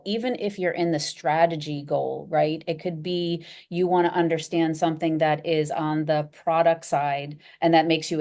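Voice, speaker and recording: A woman's voice: low-pitched, dark tone. A woman speaking as an interview guest, candid and self-deprecating, laughing easily as she talks. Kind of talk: casual conversational interview.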